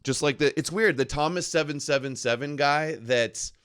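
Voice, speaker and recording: A man's voice: fairly high pitch, moderate pace, fairly bright tone, smooth. A man hosting a live reaction show, talking back to a clip in a sarcastic, mocking, argumentative way.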